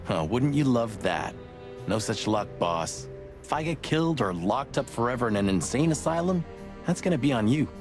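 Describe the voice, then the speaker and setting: A man's voice: mid-range voice, very animated, normal speed. A man playing a sardonic, streetwise rooster cop sidekick in a noir game, needling but loyal. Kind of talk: film noir game voice acting. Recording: music behind the voice.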